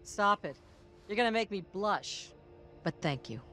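Tough, wry middle-aged survivor. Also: female voice, medium pitch, animated, unhurried, a bit bright, soft background music. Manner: dry, wry. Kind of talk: cinematic horror game dialogue.

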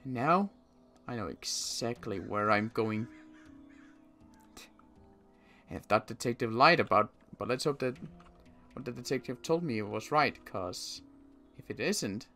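A man roleplays a suspicious male survivor in a zombie game, talking to himself. His pitch moves in lively swings as he stammers and repeats words. Faint music plays underneath. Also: moderate pace. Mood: resolved, menacing. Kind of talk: improvised game roleplay monologue.

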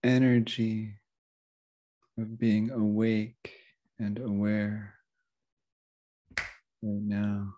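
A man leading a guided meditation as a teacher, speaking gently and unhurriedly with long pauses. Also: lowish voice, completely flat delivery, lo-fi sound.

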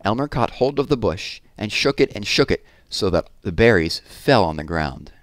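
A man in his thirties reading a children's story aloud expressively, with deliberate pauses and drawn-out words, on a headset mic. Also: lowish voice, sing-song, dry recording, studio quality. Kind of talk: children's read-aloud narration. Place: small room at home, indoors.

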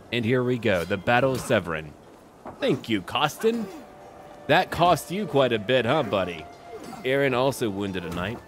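A man giving casual commentary on his strategy game playthrough, talking to himself and to the characters in short clipped remarks. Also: lowish voice. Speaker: gaming video creator narrating his own playthrough.

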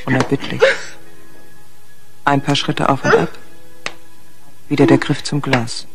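Creaky-voiced, guarded wife. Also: female voice, a bass voice, fairly even intonation, unhurried, over loud music. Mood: grim resolve. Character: abused wife, tense, defensive, grimly resolved. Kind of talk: German radio crime drama acting.

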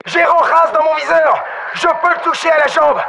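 Terse, commanding special forces soldier. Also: male voice, very high-pitched, little pitch movement, moderate pace, creaky, bright, forceful tone, slight background noise, heavily acted, rough recording. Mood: urgent. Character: gruff, focused male special forces soldier. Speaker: video game voice actor. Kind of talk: military game dialogue, radio callouts.

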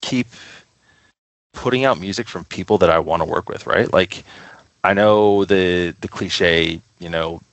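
A man's voice: low-pitched, measured pace, a bit bright, no echo, rough recording. A man speaking casually as a guest in an interview, talking about running a small record label.

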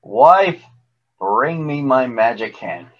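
A man voicing a huge, bad-tempered, man-eating storybook giant, bellowing and commanding with slow, heavy delivery. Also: mid-range voice, highs cut off.

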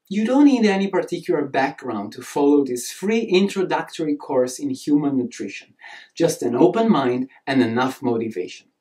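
A man in his thirties speaks with a clear Italian accent, delivering a prepared course introduction to camera. His tone is mellow and warm, and he stresses key words with earnest emphasis. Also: on the high side. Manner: earnest, animated hand gestures, emphatic delivery. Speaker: nutrition course instructor. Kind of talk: scripted lecture to camera.

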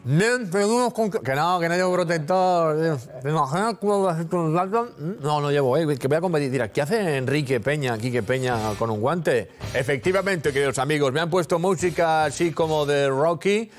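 A man in his forties hosts a lighthearted TV studio segment, joking and teasing as he talks. His voice sits a little high and is picked up cleanly on a lapel mic, with a faint music bed underneath. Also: brisk, few pauses. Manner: jokey, teasing, talkative. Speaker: TV magazine host. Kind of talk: playful TV studio chat. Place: TV studio set with sofas.

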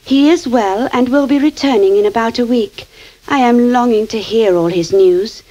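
Woman as a worried young Victorian lady, soft and earnest, mellow voice, dull hissy tape. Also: on the high side, normal speed, lightly acted. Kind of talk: 1970s radio drama.

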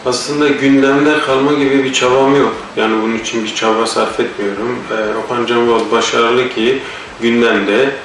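A man's voice: mid-range voice, steady tone, normal speed, noisy background. A man answering interview questions hesitantly, with many filler sounds and a blocked nose. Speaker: singer and actor interviewed. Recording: echoey room, mic far off.

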